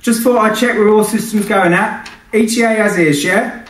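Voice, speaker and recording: Guy reading a novel doing a brash loud matey bloke, raised pitch, clipped, sounds distant in a big room. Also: normal speed, close to an everyday voice. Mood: brash, breezy. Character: brash shouting male charter client, cocky and matey. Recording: echoey hall, distant microphone.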